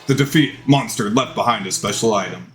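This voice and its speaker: A man's voice: lowish voice, brisk, music in the background. A man reading out a dating simulator as dramatized, theatrical game narration.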